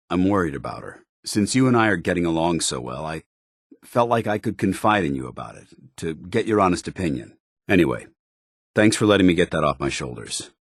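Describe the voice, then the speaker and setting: An actor playing a stern, earnest, dutiful male soldier in a video game, speaking with formal, measured military diction. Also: extremely low pitch, slightly rough, no room sound, studio quality, heavily acted. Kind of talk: video game voice acting, companion dialogue.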